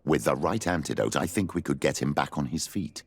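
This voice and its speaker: Game companion: learned older churchman, pragmatic, calm, extremely deep and raspy. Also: brisk, full character voice. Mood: grave. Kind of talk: video game dialogue acting.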